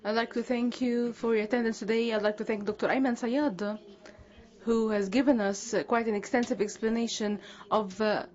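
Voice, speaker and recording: A woman giving live interpretation at a conference panel, halting often with hesitations and correcting herself mid-phrase. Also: a little high, darkish tone, muffled recording.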